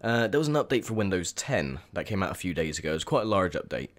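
A young man with a croaky, sore voice, chatting casually through gameplay commentary with lots of ums. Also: deep voice, sing-song, fast. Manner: chatty, rambling with many ums. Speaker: gaming video host.

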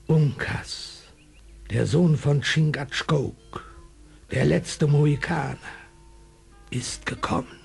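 Very raspy, creaky ancient chief. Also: male voice, mid-range voice, a little mellow, full character voice. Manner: slow, solemn, ceremonial delivery. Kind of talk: 1970s German radio play acting.

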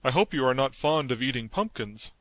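A man's voice: medium pitch, lively intonation, a little fast, fairly bright tone, no echo, natural voice. A man voicing a fearful, gloomy pumpkin-headed creature in a storybook, worried and touchy in manner.

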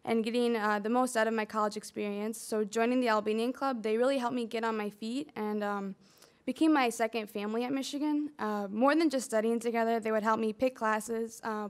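A woman's voice: fairly high pitch, fairly even intonation, brisk. A woman in her early twenties from the United States, giving a prepared student speech at a podium with casual asides. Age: early 20s. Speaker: student speaker. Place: lecture hall, at a podium.

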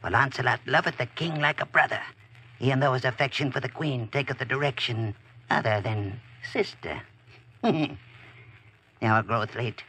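Raspy, sharp scheming wizard. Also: male voice, mid-range voice, normal speed. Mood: sly, conspiratorial. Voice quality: raspy, creaky. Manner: conspiratorial, archaic and theatrical. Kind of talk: 1940s radio acting, archaic speech. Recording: vintage radio drama recording.

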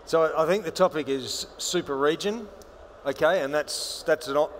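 A man's voice: a little high, moderate pace, a little hiss or hum. Assertive man speaking on a panel. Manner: fluent, assertive, with small restarts. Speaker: panelist, banker. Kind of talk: conference panel talk. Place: large conference stage with audience.

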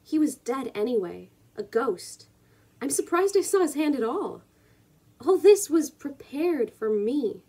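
A young woman you might find in her plant-filled bedroom, reading poems slowly to a camera in a soft-edged, mellow voice. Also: high-pitched, big pitch swings. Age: 20s. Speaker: poetry reader and video creator. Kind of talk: spoken word poetry reading with casual introduction. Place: small room at home, indoors.

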